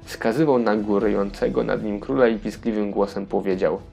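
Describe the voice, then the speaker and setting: A man's voice: lowish voice, steady tone, normal speed, creaky, darkish tone, slightly rough, faint music behind. A man narrating a scripted children's fairy tale as a storyteller.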